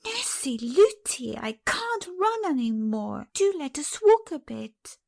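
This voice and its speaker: A woman voicing a chattering little girl princess in a storybook reading, high and breathless. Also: sing-song, takes it slowly, vocal fry, darkish tone, exaggerated voice. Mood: tearful.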